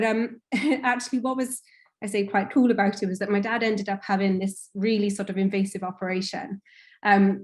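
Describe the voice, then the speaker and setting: A woman's voice: mid-range voice, a little mellow. A young woman from Wales talks through a career panel on a video call. Her delivery is loose and chatty, dotted with ums and "sort of". She sounds like a researcher sharing her story informally. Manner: chatty, many fillers and hedging "sort of". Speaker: panel speaker, cancer researcher.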